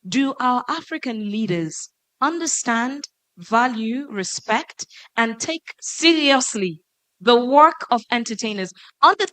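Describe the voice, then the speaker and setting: A woman moderating a conference panel, posing questions to the panelists in a lively way. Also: fairly high pitch, slow, dry recording, rough recording. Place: conference stage.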